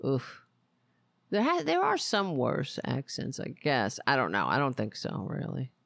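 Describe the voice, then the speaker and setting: A talk show host giving casual, rambling political commentary in a wry, self-mocking, exasperated way, often halting and drifting off on tangents. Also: medium pitch, wildly swooping pitch, gravelly, a bit bright, no room sound, studio quality.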